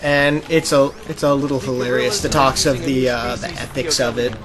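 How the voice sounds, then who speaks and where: A man's voice: mid-range voice, level tone, moderate pace, crowd noise. A man co-hosting a podcast, chatting casually and a bit ramblingly about gaming news while playing a video game. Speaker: podcast co-host. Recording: noisy, with game sounds behind. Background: video game audio.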